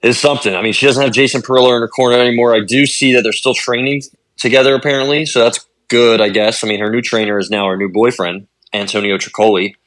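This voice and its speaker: A man's voice: medium pitch, steady tone, fast talker, a bit bright, no room sound, low-quality recording. A man co-hosting a podcast, breaking down fighters in casual chat, with many ums and I-means. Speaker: podcast co-host. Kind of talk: casual sports analysis chat.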